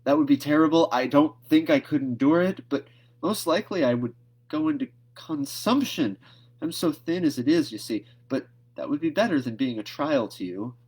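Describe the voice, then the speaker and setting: A man's voice: on the high side, animated, normal speed, a little mellow, breathy edge, pitched above the performer's own voice. An earnest little orphan girl from a storybook, played by a man reading aloud. She is plaintive, talkative and given to drama, lifted above his usual talk. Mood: tearful, pleading.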